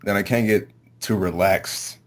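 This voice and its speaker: A man answering interview questions casually, in a low-key, slightly hesitant way. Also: steady tone, unhurried. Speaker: amateur voice actor, interview guest.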